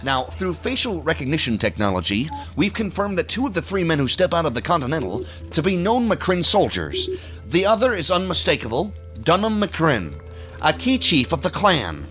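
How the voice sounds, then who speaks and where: An actor playing an officious, easily irritated male federal agent who briefs colleagues in a formal, measured, pompous way for an audio drama. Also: fairly high pitch, huge pitch swings, fairly bright tone, faint music behind, rough recording. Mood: authoritative.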